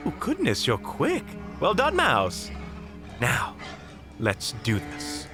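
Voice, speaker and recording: A man's voice: a little high, huge pitch swings, slow pace, over music, heavily acted. A man playing a polite, unflappable monster hunter in an audio roleplay, speaking in a formal, prim, theatrical manner. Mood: mildly surprised. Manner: formal, prim, wryly courteous.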